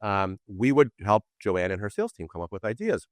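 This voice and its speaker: Middle-aged guy, low voice, dry close mic, lively storyteller. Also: brisk, dry recording. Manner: animated storyteller, acts out quoted voices. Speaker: podcast guest. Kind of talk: casual interview storytelling.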